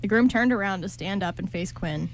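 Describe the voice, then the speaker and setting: A woman's voice: medium pitch, brisk. A woman reading scripted story narration for an amateur radio play. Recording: clearly noisy amateur recording.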